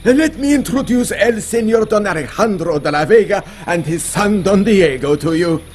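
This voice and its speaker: An actor playing a bumbling, anxious army sergeant in a game, talking in a hesitant, flustered and fawning manner. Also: male voice, high voice, expressive, normal speed, a little hiss or hum. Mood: nervous, fawning. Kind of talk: video game cutscene acting.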